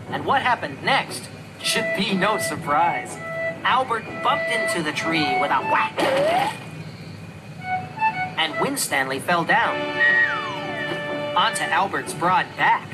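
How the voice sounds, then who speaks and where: A children's storyteller reads rhyming verse with a very lively, swooping pitch. The delivery is slow and measured, shaped like a picture-book reading. Loud music plays throughout beneath the narration. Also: low-quality recording.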